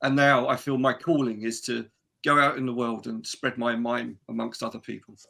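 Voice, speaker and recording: A man playing an absurdly solemn mime adventurer, telling his tale in a deadpan, halting way in a tabletop roleplaying game. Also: medium pitch, vocal fry.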